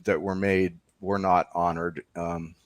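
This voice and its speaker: A man's voice: deep voice, little pitch movement, takes it slowly, dry recording. A man talking conversationally as a documentary filmmaker being interviewed.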